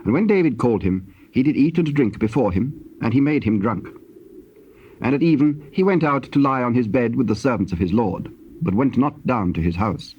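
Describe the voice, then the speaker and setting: Male scripture narrator, British, solemn, measured, mid-pitched, dull cassette sound with faint hiss. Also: animated. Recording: dull, hissy old cassette tape.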